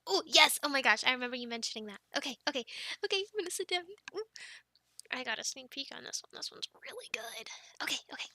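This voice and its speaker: A young woman with a high, very bright voice performs ASMR roleplay. She has a playful, girlish delivery, recorded close and dry. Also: lively intonation, fast talker, no echo. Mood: playful chat. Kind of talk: ASMR roleplay, whispered storytelling and casual chat.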